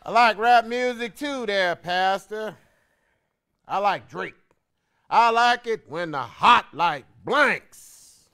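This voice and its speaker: A man's voice: high-pitched, sharp tone, smooth, heavily acted. An American middle-aged man playing a folksy, chiding judge in a comedy courtroom show. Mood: declarative. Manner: slow, admonishing. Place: courtroom, seated at the bench.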